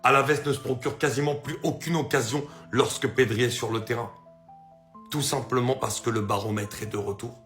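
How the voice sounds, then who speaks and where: A man insists on his point about a team with firm, emphatic delivery. His voice echoes in the room, and soft guitar music plays behind him. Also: mid-range voice, steady tone, moderate pace. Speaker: football YouTuber. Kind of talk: video commentary.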